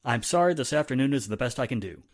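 Animated, businesslike police inspector played by a man. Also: mid-range voice, fast talker, close to an everyday voice. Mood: firm. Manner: businesslike, confident. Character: brisk, affable male police inspector, in charge. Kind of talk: 1940s radio drama acting.

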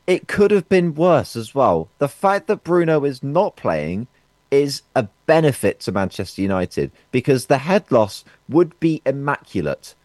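Gloating, lively-voiced man. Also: mid-range voice, measured pace, no echo. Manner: gloating, excitable, giggly. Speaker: podcast co-host. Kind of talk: casual football chat.

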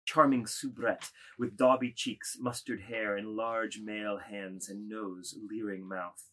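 A man's voice: lowish voice, fairly even intonation, takes it slowly, sharp tone. A man reading scripted narration and stage directions from a novel as the narrator.

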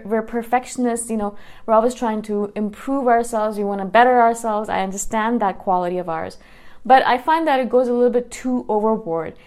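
A woman in her thirties, a YouTuber talking straight to her viewers in a casual monologue, earnest and full of restarts and "right?" checks. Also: medium pitch. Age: 30s. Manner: earnest, repeats words and restarts often, asks "right?".

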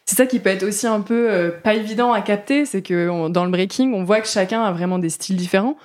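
Woman doing a laid-back chatty interview, average pitch, rewords her questions as she goes. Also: quick pace, a little mellow. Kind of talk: casual conversational interview.

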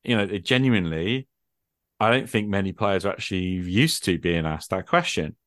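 A man's voice: sits low, expressive, moderate pace, a bit bright, no echo, studio quality. A man chatting casually as a gaming podcast host, thinking aloud with plenty of "like" and "you know". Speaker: podcast host and game master. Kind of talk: casual conversation about tabletop gaming.

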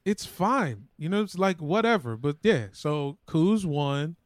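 A man's voice: on the high side, very animated, unhurried, dry recording, clean studio sound. An American man co-hosting a podcast with casual, chatty sports banter. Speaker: podcast co-host.

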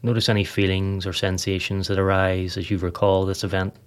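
A man with a notably low voice narrates a guided meditation. He delivers the script calmly and evenly paced in short phrases, with unhurried pauses between them. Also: clean tone. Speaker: podcast host, meditation guide.

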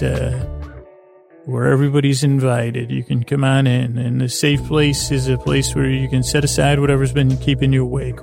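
A man hosting a bedtime podcast in a creaky, gravelly voice, rambling in a lulling, halting way with frequent stammers and self-interruptions. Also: medium pitch. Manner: lulling, soothing. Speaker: podcast host. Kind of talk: rambling bedtime monologue to put listeners to sleep.

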